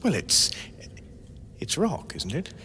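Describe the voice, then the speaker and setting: A man's voice: medium pitch, huge pitch swings, takes it slowly, bright, forceful tone, full character voice. An actor playing a bewildered, hapless but polite Englishman in a radio comedy, with a hesitant, mildly querulous manner and an English accent. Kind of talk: radio comedy drama acting. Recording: echoey reverberant radio drama, faint noise.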